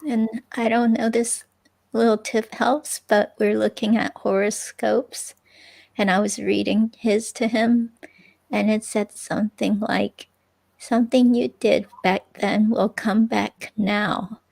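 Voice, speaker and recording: A woman's voice: mid-range voice, level tone, creaky, a little mellow, rough recording. A woman reading the part of a hesitant, chatty ex-girlfriend questioned by police in a dramatic transcript reading. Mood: confiding. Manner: hesitant, halting, casual.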